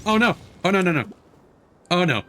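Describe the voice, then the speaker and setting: A man doing casual, chatty live game commentary, quick to react out loud. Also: high-pitched, animated. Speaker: game livestreamer, host.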